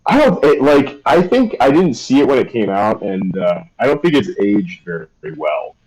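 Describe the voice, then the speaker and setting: A man's voice: medium pitch, big pitch swings, moderate pace, crowd noise, low-quality recording. A young man chatting casually on a live stream, rambling and hesitant with lots of fillers. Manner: rambling, hesitant, self-deprecating. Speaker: streamer and artist.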